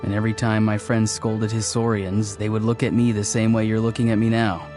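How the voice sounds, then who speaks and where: An actor voicing a calm, polite young man in a video game, speaking gently and apologetically. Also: fairly low pitch, close to an everyday voice. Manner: soft-spoken, gentle and measured. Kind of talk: video game cutscene dialogue. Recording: game music behind voice.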